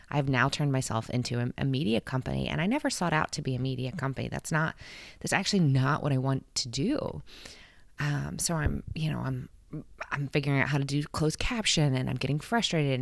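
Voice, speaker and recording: A woman's voice: sits low, gravelly, no echo. A woman in her thirties talking earnestly and encouragingly to camera from her bedroom, holding a handheld mic close. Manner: earnest, heartfelt, encouraging, with frequent restarts and laughs. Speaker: vlog host. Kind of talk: casual motivational talk to camera. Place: bedroom at home, indoors. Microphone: handheld microphone held close.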